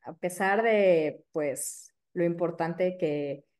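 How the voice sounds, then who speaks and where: A woman in her thirties from Mexico City with a Mexico City accent, a periodontist guest chatting casually and filling pauses with "este" and "eh". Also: fairly low pitch. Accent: Mexico City Spanish. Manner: warm, chatty, many fillers like "este" and "eh". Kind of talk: casual interview chat.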